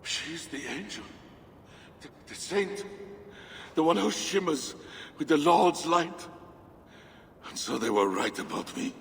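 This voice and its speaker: An actor playing a deranged, burn-scarred man who believes he is a demon, speaking in a feverish voice that swings from hushed to ranting. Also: fairly high pitch, sing-song, slow pace, vocal fry, pauses often, slight background noise, heavily acted. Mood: brooding. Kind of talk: video game cutscene acting. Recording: echoey hall reverb.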